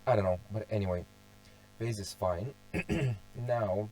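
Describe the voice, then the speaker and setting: A young man who is sick and going hoarse walks through a tutorial casually, thinking aloud, restarting phrases and clearing his throat often. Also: on the low side, slow pace, dark tone, faint music behind, low-quality recording. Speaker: car audio hobbyist presenting a tuning walkthrough. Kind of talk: casual screen-share tutorial.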